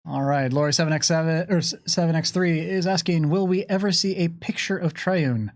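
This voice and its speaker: A man's voice: fairly high pitch, brisk, no room sound, studio quality. A man hosting a livestream, chatting casually through viewer questions in a wry, musing way with playful asides. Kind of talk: casual game lore Q&A chat.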